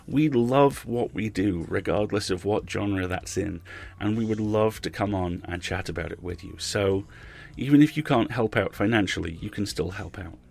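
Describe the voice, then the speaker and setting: Male podcast host, low and husky, chatty delivery with emphatic phrasing. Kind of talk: podcast outro with donation appeal.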